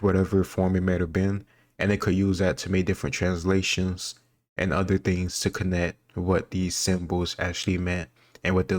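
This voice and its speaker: A man's voice: low-pitched, no room sound. A man giving casual commentary in a rambling, musing way, often qualifying what he says. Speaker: host reacting to quiz questions. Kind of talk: casual commentary on history trivia.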